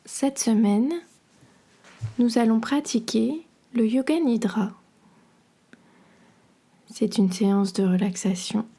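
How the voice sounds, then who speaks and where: A woman guiding a relaxation meditation in a soothing, measured, instructional way, her soft voice turning breathy at the ends of phrases. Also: a little high, a little hiss or hum. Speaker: podcast host and yoga nidra guide.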